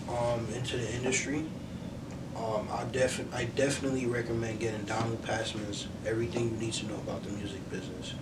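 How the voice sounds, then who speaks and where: Young male interview guest, earnest and reflective, conversational, average pitch, recorded off-mic over clear noise. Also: recorded from a distance. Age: young adult. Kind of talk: casual sit-down interview. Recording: roomy, off-mic, noisy with low rumble.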